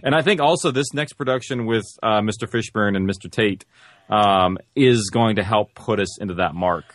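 A man's voice: fairly low pitch, normal speed, no echo. A man speaking as a guest in a casual interview, explaining things in an informal, rambling way. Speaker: guest, audio-drama creator and producer.

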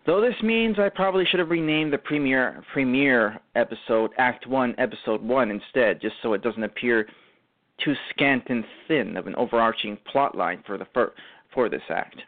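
A man presents a live radio show in a mid-range voice. His phrasing is formal and ornate, like a scripted announcement, with halting stumbles along the way. The sound is thin and narrow, as if down a telephone line. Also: mid-range voice. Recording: tinny phone-like internet radio line.

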